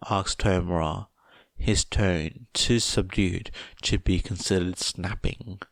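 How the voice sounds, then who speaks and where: A man narrating scripted fiction as an audiobook reader, in a measured, dry manner. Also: deep voice, dry recording.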